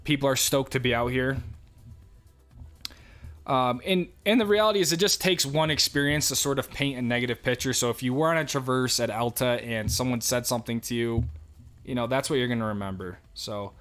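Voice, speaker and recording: A young man with a New York accent, casually answering viewer questions on a livestream with a relaxed, rambling manner and frequent fillers. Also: mid-range voice, brisk, clean tone, faint music behind. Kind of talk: casual live chat.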